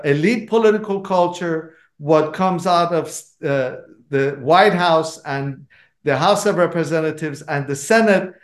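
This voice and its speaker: Man with Iranian accent, author at a book event, fairly high voice, emphatic, many fillers, echoey room. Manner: measured, emphatic, with frequent fillers and restarts. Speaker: author and guest speaker. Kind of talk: book talk answering audience questions.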